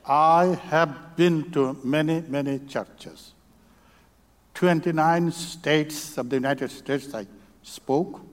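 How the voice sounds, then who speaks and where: A man from Bangladesh with a Bangladeshi accent, a native Bengali speaker, preaching as a visiting speaker in church, in short halting phrases. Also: on the high side. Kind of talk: church sermon testimony. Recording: long reverb of a church hall.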